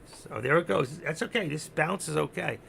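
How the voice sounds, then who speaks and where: A man with a gravelly, hoarse voice that is giving out, doing unscripted live trading commentary, thinking aloud, repeating himself and instructing his viewers. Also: a little high, lively intonation, normal speed, a bit bright, a little hiss or hum. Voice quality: gravelly, creaky. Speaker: live stock-trading streamer.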